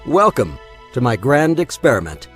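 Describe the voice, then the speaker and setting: An actor playing a bitter, wealthy old miser in an audio drama, speaking with menacing politeness. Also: male voice, medium pitch, very animated, normal speed, soft background music, lightly acted. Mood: coolly menacing. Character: cold, bitter rich old miser holding captives.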